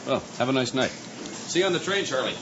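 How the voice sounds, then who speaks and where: An actor playing an easygoing, genial commuter friend in an old-time radio drama, talking casually. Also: male voice, medium pitch, sing-song, fairly quick, noisy background, lightly acted. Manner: casual, chummy.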